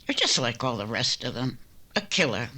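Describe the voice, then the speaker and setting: A man's voice: medium pitch, very animated, normal speed, sharp tone, heavily acted. A man playing a bitter, vengeful widow in a radio western, cold and accusing. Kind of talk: old-time radio western drama acting.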